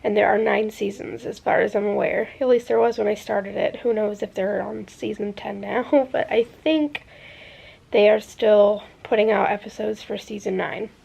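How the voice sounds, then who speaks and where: A woman's voice: mid-range voice, fairly even intonation, moderate pace, vocal fry, darkish tone, husky, rough recording. A young woman vlogging casually to her camera, rambling with lots of fillers and sounding congested from a sinus infection. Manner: rambling, many fillers like "like" and "I don't know". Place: in a car, then at home.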